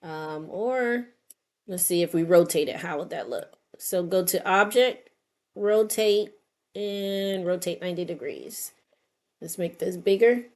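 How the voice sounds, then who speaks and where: A woman's voice: on the low side, a little slow, a little mellow. A middle-aged woman narrating an informal step-by-step tutorial, unscripted and thinking aloud as she works. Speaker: craft tutorial host.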